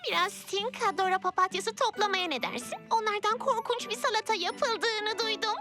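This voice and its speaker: Cartoon girl heroine: cheerful, helpful, extremely high voice over prominent music. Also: moderate pace. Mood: upbeat, persuasive. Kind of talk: dubbed children's cartoon acting.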